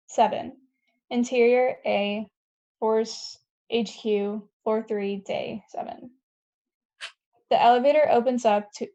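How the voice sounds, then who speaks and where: Woman narrating a script at a table read, soft mellow tone, kinda dull lo-fi sound. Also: on the high side. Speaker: narrator reading stage directions.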